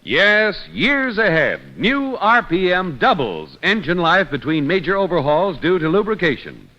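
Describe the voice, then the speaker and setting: A male show announcer in classic mid-century radio style. His voice is fairly high, forceful and animated. It comes from a dull old recording with light music behind. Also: unhurried. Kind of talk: 1950s radio announcing, scripted credits and sponsor read.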